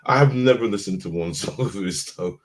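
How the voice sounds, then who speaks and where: A man co-hosting a casual live-stream chat, drowsy from being stoned, rambling and trailing off in a mellow way. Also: sits low, fairly quick. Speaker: podcast co-host.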